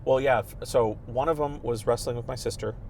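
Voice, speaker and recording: A man's voice: medium pitch, fairly even intonation, a little fast, darkish tone, a little hiss or hum. Chatty man in his thirties. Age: 30s. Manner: chatty, rambling with frequent restarts. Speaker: priest guest. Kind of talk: casual chat.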